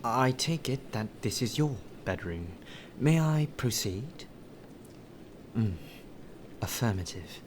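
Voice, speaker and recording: A man acts out a polite, fussy butler in an intimate ASMR audio drama. His delivery is slow, measured and deferential, with careful formal phrasing at an ordinary male pitch. The home recording carries clear ambient noise. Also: expressive. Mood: formal, courteous. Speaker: voice actor in audio roleplay. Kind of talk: soft ASMR roleplay acting.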